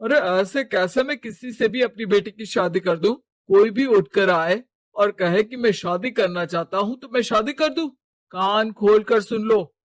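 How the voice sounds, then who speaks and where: A man's voice: high voice, no room sound. A man voicing a stern, status-proud middle-aged father in a cartoon moral story, domineering and scornful.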